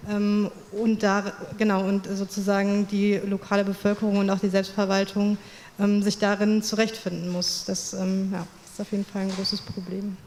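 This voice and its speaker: A woman moderating a seminar discussion in a measured, analytical way. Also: medium pitch, monotone, a little mellow. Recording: echoey hall with faint noise.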